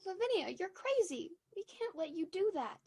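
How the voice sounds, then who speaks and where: A woman's voice: very high-pitched, big pitch swings, moderate pace, darkish tone, full character voice. A woman playing a timid, anxious small-town lady in a 1940s-style radio play, earnest and pleading. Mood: worried.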